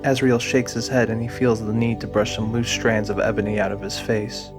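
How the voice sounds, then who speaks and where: A man giving a scripted, deliberate narration of a fantasy audio drama. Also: fairly low pitch, little pitch movement, music in the background.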